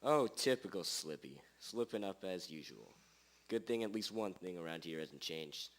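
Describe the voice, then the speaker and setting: A man playing a confident, world-weary famous detective, deadpan and self-important, in a radio drama parody. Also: low voice, normal speed, noisy background. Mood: self-assured.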